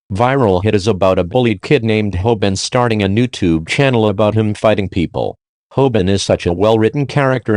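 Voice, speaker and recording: Low-voiced man narrating a countdown review. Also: a little mellow, no echo, studio quality. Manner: informal, slangy. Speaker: video host and reviewer.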